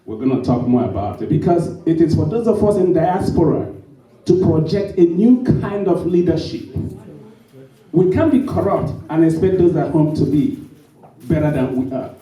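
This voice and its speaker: A man, a storyteller, giving a halting, then emphatic, speech to an audience. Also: on the high side, very animated, dark tone. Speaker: storyteller speaking at a summit. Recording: echoey hall, mic from a distance.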